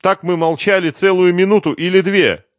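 Man acting a high-pitched, panicky, weepy male singer. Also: fairly even intonation, normal speed, no room sound, clean studio sound, lightly acted. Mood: anxious confession. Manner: pleading, whining, stammering protests. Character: frightened, hysterical, weepy male pop singer under questioning. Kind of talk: audiobook detective novel dialogue.

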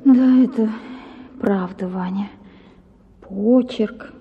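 A man's voice: high voice, very slow, husky, voice pushed up, full character voice. A man putting on a flustered young wife. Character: young woman, flustered and childish. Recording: lo-fi radio reading.

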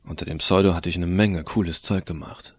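A cocky teenage schoolboy played by a man tells his own story in an audio drama. The voice is fairly low and recorded dry. His narration is irreverent, sardonic and full of slangy, colloquial turns. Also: steady tone, a little fast, a little mellow, dry recording. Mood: wry, confiding. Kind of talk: first-person scripted narration, fan audio drama.

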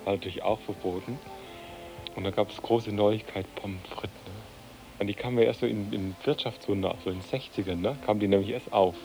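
A man's voice: on the low side, fairly quick, over music. A man from southern Germany reminiscing in a casual, conversational way. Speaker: interviewee.

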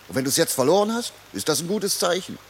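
A man's voice: fairly high pitch, sing-song, fast talker. An actor playing a bossy, cocksure fugitive man who scolds and lectures his comrade impatiently, in a German radio play. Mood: urging.

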